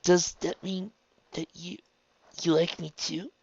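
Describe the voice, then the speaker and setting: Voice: mid-range voice, animated, measured pace, lo-fi sound, pitched above the performer's own voice. A narrator voicing a guilt-ridden young female pegasus in a storybook, who blurts and stammers. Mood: awkward, hopeful.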